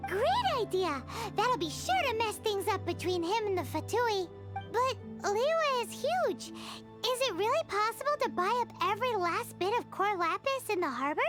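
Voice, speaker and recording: A tiny floating game companion, extremely high and squeaky, with a bouncing, animated delivery. She is perky, cheeky and childlike, over bright, prominent music. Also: normal speed. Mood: excited. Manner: perky, refers to herself in the third person. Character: small excitable childlike female floating companion, cheeky and greedy for snacks. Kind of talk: video game character dialogue.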